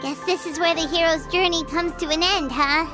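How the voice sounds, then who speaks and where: A woman playing a tiny, childlike, pouty fairy companion in a video game, squeaky and excitable. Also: normal speed. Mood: pondering. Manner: indignant, refers to self in third person. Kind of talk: video game character acting. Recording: music behind the voice.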